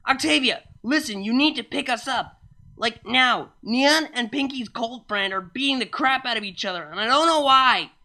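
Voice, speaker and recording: Narrator doing a drunk girl party pony, loud n slangy, kinda slurred, bright voice. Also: medium pitch, moderate pace, pitched above the performer's own voice. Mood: panicked, exasperated. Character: brash drunken female party pony, rowdy and foul-mouthed.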